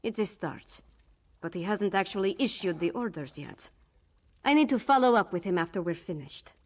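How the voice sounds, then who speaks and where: A woman playing a brisk, determined young noblewoman in a fantasy video game, speaking frankly and in a businesslike way. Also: mid-range voice, expressive, darkish tone, natural voice. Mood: wry, determined. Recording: game music behind the voice.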